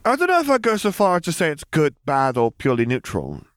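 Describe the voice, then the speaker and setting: A blunt, precocious eleven-year-old girl speaks in formal, detached phrases, as a male audiobook reader puts her on. The voice sits well above a man's usual deep register and comes across dry and close. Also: wildly swooping pitch, fast talker, fairly bright tone, clean tone, no room sound. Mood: matter-of-fact.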